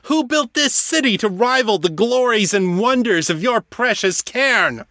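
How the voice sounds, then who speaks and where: A man voicing a brooding male dark lord who rants in anguish and breaks into sobs, in a storybook reading. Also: mid-range voice, lively intonation, slow pace, husky, no echo, clean studio sound, exaggerated voice.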